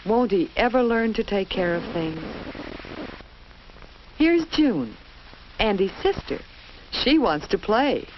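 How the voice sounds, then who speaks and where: A woman's voice: mid-range voice, expressive, slow pace, pauses often. A woman narrating a children's educational film in a scripted storytelling style, with simple instructive phrasing and questions put to young viewers. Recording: dull lo-fi old film soundtrack, faint hiss.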